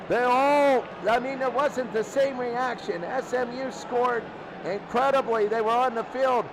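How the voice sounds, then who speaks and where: A man doing excitable play-by-play commentary on American football, repeating phrases for emphasis. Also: extremely high pitch. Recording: loud crowd and ambient noise behind.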